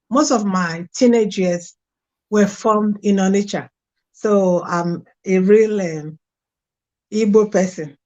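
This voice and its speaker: A woman's voice: mid-range voice, a little mellow. A middle-aged woman from Nigeria with a Nigerian accent, a guest frankly telling her life story in an interview. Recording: dull lo-fi sound.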